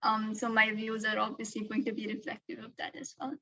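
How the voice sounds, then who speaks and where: Woman panelist, bright, flat-toned, lo-fi. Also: fairly high pitch, brisk, no echo. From India. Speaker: debate panelist. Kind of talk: casual panel introduction.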